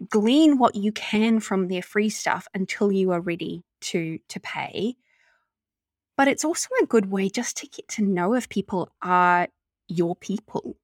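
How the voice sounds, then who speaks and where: A woman with a New Zealand accent hosting a podcast, chatting and asking questions in a relaxed, agreeable way with many fillers. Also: mid-range voice, no room sound, studio quality. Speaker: podcast host. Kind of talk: casual interview chat.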